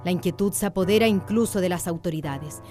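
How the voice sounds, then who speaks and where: A woman's voice: on the low side, fast talker, a little mellow, faint music behind. A woman with a Chilean accent reading scripted narration for a radio drama.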